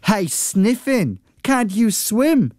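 A man's voice: high voice, very animated, drawn-out words, darkish tone, dry recording, clean studio sound, pitched above the performer's own voice, exaggerated voice. A man voicing a sneering teenage boy who bullies a classmate with mocking taunts. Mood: taunting.

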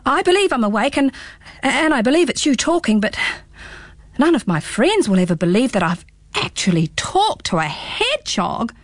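A bewildered young man out of a storybook, as a woman puts him on, polite and easily startled. He stammers, and the voice sits high and moves a lot. It sounds close and dry. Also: sing-song, moderate pace, dry recording. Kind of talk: storybook read-aloud.

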